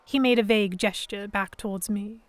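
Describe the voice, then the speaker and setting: A woman playing an anxious, indignant young captive who narrates her ordeal in expressive, first-person storytelling for an audio drama. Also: mid-range voice, a little fast, dry recording, lightly acted. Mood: tense.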